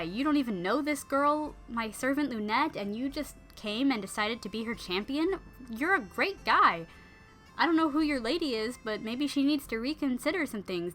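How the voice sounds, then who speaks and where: A woman's voice: on the high side, a bit bright. A woman storyteller playing a forthright, kindly noble lady who speaks in a chatty modern way. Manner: chatty, frank, informal. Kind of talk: casual modernized storytelling dialogue.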